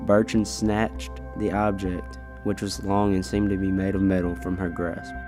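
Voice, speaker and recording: A man's voice: low-pitched, little pitch movement, unhurried, over music. A teenage boy reading scripted storybook narration in an amateur school radio play. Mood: measured. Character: measured storybook narrator of a gothic tale.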